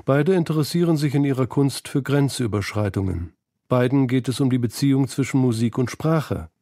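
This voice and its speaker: A man's voice: mid-range voice, dark tone, dry recording, clean studio sound. A man reading scripted narration for a documentary feature.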